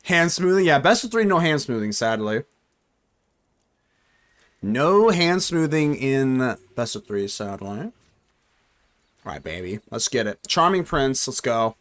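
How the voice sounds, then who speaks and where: A man's voice: mid-range voice, measured pace, pauses often. A young man giving casual live commentary on a card game, joking and talking to himself and his chat. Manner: animated, talks to himself and chat, jokey. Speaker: gaming streamer.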